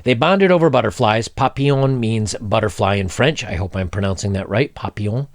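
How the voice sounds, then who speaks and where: Man, 40s, gravelly, blunt commentary host, dry close mic. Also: on the low side, fairly quick, no echo. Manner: blunt, opinionated, animated, with hand gestures. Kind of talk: reading and commenting on a news article. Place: indoor home studio, seated in gaming chair. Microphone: desk microphone in front.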